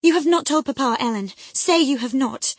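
A spoiled young girl begging in a high, breathless voice, as a woman reads her out. Her tone stays imploring and tearful, with a faint huskiness. The sound is dry and close. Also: moderate pace, a little mellow, dry recording. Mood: pleading.

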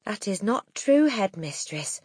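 A woman voicing a gentle but firm woman teacher in an audiobook, speaking in a measured, precise, politely defiant way. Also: medium pitch, very animated, breathy edge, dry recording, pitched above the performer's own voice. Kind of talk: audiobook character acting.

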